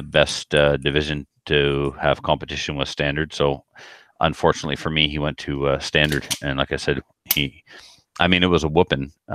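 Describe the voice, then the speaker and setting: A man's voice: a bass voice, a bit bright, smooth, dry recording. A man co-hosting a podcast, telling anecdotes casually with a self-deprecating manner. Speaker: podcast co-host and competitive shooter.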